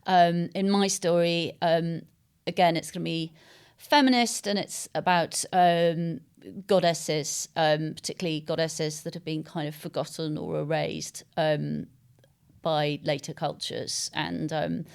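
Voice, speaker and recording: Smooth-voiced woman, thoughtful and halting. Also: low-pitched, steady tone, a little mellow. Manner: thoughtful, halting, searching for words. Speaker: interviewed novelist. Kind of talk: literary interview, unscripted conversation.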